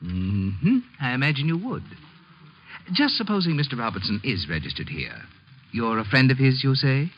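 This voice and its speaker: An actor playing a fussy, officious hotel desk clerk in a 1950s radio drama, prim, guarded and condescending in a mock-polite way. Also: male voice, medium pitch, big pitch swings, normal speed. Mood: suspicious, coy. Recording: muffled old 1950s radio broadcast, faint noise.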